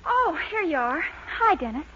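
An actress playing a lively, exasperated young woman, in an expressive 1940s radio sitcom style. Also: very high-pitched, normal speed, background noise. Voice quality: bright, expressive comedy delivery. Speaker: Dennis's girlfriend Mildred. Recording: dull old radio broadcast.

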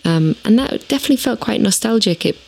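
A woman musician answering interview questions, thoughtful and halting, with many fillers and casual swearing. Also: fairly high pitch, animated, vocal fry, darkish tone, rough recording. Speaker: musician, band lyricist.

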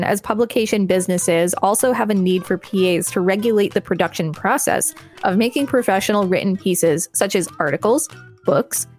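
Woman co-host reading over music. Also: mid-range voice. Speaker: podcast co-host. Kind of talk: reading an encyclopedia article aloud with casual banter.

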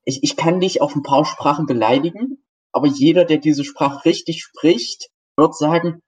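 A man's voice: on the high side, normal speed, darkish tone, breathy edge, rough recording. A man chatting casually on a podcast, talking in a self-deprecating way.

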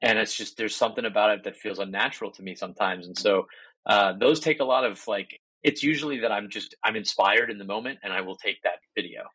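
A man with a fairly low but bright voice speaks as a podcast guest. He talks in a loose, self-deprecating way, full of ums and restarts. The sound is dry and close. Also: fast, husky, dry recording, poor audio. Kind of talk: casual interview talk.

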